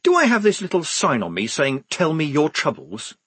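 A man's voice: fairly high pitch, huge pitch swings, moderate pace, a bit bright, breathy edge, dry recording, pitched above the performer's own voice, exaggerated voice. A man voicing a sensible young woman soldier with a guarded, practical manner in an audiobook.

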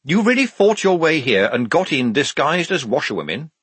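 A man's voice: mid-range voice, huge pitch swings, a little slow, fairly bright tone, breathy edge, dry recording, voice pushed up, exaggerated voice. A man voicing an inquisitive, slightly reproachful male newspaper writer, hesitant and earnest, in an audiobook. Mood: eager, reproachful.

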